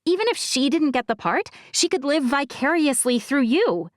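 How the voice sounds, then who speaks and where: A woman voicing an eager, curious young female friend in an audiobook, with excitable, incredulous questioning. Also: high voice, moderate pace, no room sound, clean studio sound, pitched above the performer's own voice. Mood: curious, sympathetic. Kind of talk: audiobook character dialogue.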